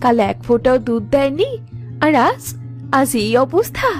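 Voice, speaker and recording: Woman as an animated, careworn village mother. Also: high-pitched, moderate pace, soft background music. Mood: amazed. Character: careworn, cautious village mother in a poor household. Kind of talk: cartoon dialogue.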